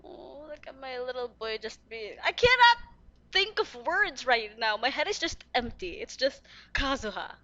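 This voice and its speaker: High, bright-voiced young woman gamer. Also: normal speed. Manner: gushing, expressive. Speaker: game streamer. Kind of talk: casual gaming reaction commentary. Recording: dull lo-fi mic. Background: faint game music.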